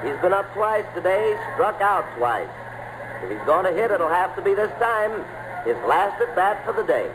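An earnest ballgame announcer from old-time radio. His voice sits high, in a sports commentary style. The recording has clear background noise. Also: sing-song, moderate pace. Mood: tense excitement. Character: earnest male radio baseball play-by-play announcer.

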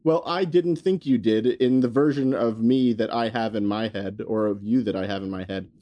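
A man's voice: fairly low pitch, normal speed, dull audio. A man co-hosting a casual comedy podcast, dry and playful, laughing often, with a slight cold. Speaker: podcast co-host.

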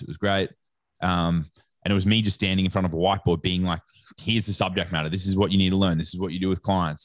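A man's voice: deep voice, no echo. A man with an Australian accent telling a story casually as an interview guest, in slangy, informal speech full of reported dialogue. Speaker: interview guest, sports nutrition educator.

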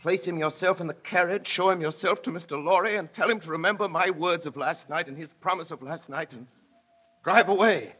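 Noble husky gentleman, old tinny radio. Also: sits high, brisk. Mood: commanding, hurried. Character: noble, self-sacrificing English gentleman, urgent yet composed. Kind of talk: mid-20th-century radio drama acting.

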